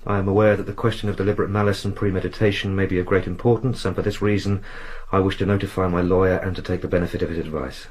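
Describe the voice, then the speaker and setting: Male actor as brisk, deferential sergeant; lowish, fast delivery. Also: fairly even intonation, a little mellow, natural voice. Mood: dutiful. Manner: formal, respectful, quick. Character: dutiful brisk police sergeant man. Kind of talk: radio drama acting.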